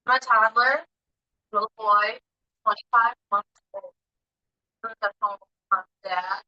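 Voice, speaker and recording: A woman's voice: medium pitch, measured pace, no echo, lo-fi sound. Woman presenting casually over a dry call. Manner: casual, with frequent ums and uhs. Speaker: student presenter. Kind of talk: class presentation. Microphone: video call.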